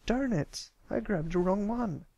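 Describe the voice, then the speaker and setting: A man's voice: high voice, lively intonation, measured pace, dry recording, dull audio, pitched above the performer's own voice, exaggerated voice. A man voicing a brash, boastful young tomboy pony filly in a storybook reading, talking defensively. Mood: annoyed, eager. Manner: loud, defensive, bragging.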